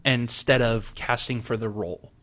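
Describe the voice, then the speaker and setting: Young guy cohosting a podcast, laughs constantly, average pitch, goofy and animated. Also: moderate pace. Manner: laughs often, animated and joking. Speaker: podcast co-host. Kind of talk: casual chat.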